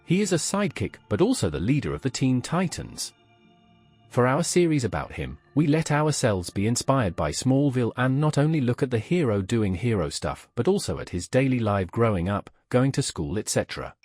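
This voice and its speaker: A man's voice: medium pitch, very animated, normal speed, a little mellow, faint music behind. A man hosting a pop culture show, reading scripted explanatory narration.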